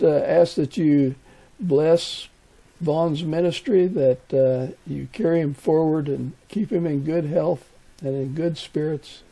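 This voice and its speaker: A man's voice: fairly high pitch, gravelly, dark tone, breathy edge, low-quality recording. A man from the United States hosting a conversational interview podcast.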